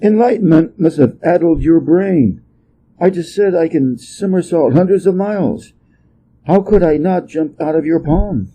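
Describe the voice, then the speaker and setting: An impudent monkey from a storybook, played by a male reader. The voice sits high and sounds brash and indignant, full of boasts and challenges, with a soft-edged tone. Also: measured pace, a little hiss or hum, lightly acted, low-quality recording. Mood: cocky. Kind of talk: storybook character voice.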